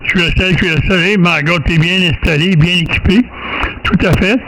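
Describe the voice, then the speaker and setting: Higher-pitched Québécois man chatting over faint noise. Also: normal speed. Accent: Quebec French. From Quebec, Canada. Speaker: amateur radio operator. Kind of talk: casual radio contact chat.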